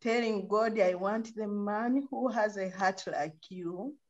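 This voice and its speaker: A Ugandan woman speaks slowly and earnestly about her ministry over a webcam. Her voice sits at a middle pitch and the call audio sounds muffled and lo-fi. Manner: slow, earnest testimony with soft laughs. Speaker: missionary, orphanage co-founder. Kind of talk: interview on a video call. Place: home room, sharing the frame with her husband. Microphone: video call webcam.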